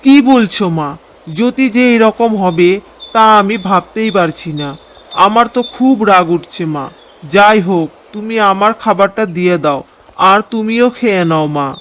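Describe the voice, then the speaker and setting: A man's voice: high voice, expressive, unhurried, fairly bright tone, slight background noise. A man playing an earnest, reproachful young village son in a cartoon drama. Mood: indignant. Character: indignant, dutiful young married village son. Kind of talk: Bengali cartoon drama dialogue.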